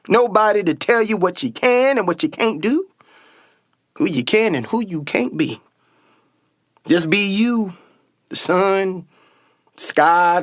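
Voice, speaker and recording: A man's voice: sits high, no room sound. A man playing a confident, dreamy older brother and aspiring pilot in a radio play, with an earnest, folksy storytelling manner. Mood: earnest, proud. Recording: music behind a dry voice. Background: music.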